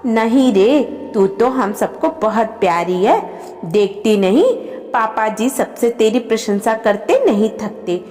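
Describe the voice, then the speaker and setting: An affectionate elder sister-in-law in a storybook tale, played by a woman narrator, motherly and soothing. The higher voice moves expressively, with long reverb around it. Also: lively intonation, slow, dark tone, faint music behind. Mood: affectionate, reassuring.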